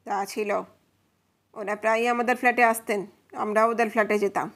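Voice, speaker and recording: A woman's voice: fairly high pitch, little pitch movement, fast, fairly bright tone, lightly acted. A woman voicing a cautious male neighbour testifying in a courtroom audio drama, subdued and hesitant, hurrying through explanations.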